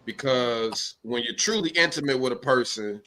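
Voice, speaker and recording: A man co-hosting a casual podcast chat, speaking haltingly with many restarts and fillers. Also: mid-range voice, bright, forceful tone. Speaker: podcast co-host.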